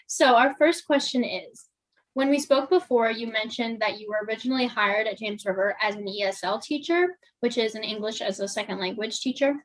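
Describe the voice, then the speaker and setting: Teen girl interviewer, slightly high voice, friendly, reads questions carefully. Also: brisk, rough recording. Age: teens. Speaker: student interviewer. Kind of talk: reading prepared interview questions.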